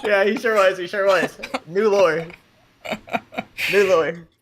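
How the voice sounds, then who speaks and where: A man's voice: high voice, takes it slowly, a bit bright. A man taking part in a tabletop roleplay game, talking and bantering casually with other players.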